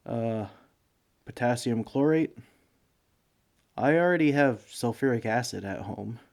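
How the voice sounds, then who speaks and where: A man's voice: medium pitch, slow, creaky, pauses often, darkish tone. A man playing a bumbling, broke villain neighbor in a comedic audio roleplay, speaking in a hesitant, pleading, self-justifying way. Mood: sheepish. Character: broke, bumbling, sheepish male supervillain neighbor begging for sugar. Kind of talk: comedic ASMR roleplay monologue.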